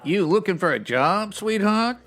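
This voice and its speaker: A man playing a slangy, wordy strip club manager with a raspy, theatrical delivery, in a comedic old-time radio detective style. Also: high voice, expressive, unhurried, a bit bright, faint music behind. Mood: chatty, pleased. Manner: hipster slang with florid big words, theatrical. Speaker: actor in a comedy audio drama.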